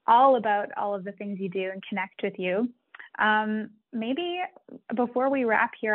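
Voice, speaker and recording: Woman interviewer, mid pitch, courteous and bright, heard through a thin phone line. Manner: polite, upbeat wrap-up questions. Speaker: podcast host. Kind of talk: interview hosting. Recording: tinny phone-line audio.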